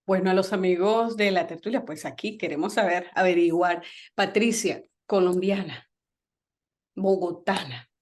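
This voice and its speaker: A woman's voice: on the low side, normal speed, darkish tone. A woman hosting a Spanish-language talk show, welcoming listeners and chatting with her guest through questions and fillers. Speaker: host. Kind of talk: radio talk show interview.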